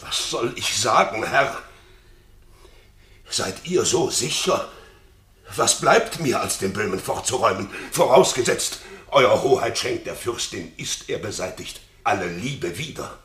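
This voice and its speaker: A loyal, upright courtier in a classical radio play. The voice is low yet incisive, urgent and earnest, shaping formal verse theatrically. It sounds in a clearly reverberant room. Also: male voice, expressive, unhurried, fairly bright tone. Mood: torn, earnest. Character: loyal, principled courtier pleading with his king. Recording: roomy studio reverb.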